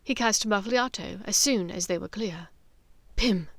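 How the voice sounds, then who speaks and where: A woman narrating a fiction audiobook with dramatic, deliberate storytelling. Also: mid-range voice, a bit bright, no echo.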